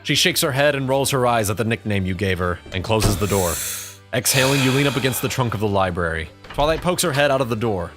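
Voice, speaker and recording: A man's voice: mid-range voice, lively intonation, fast talker, music in the background. A man narrating a scripted second-person story as the narrator of an audio drama.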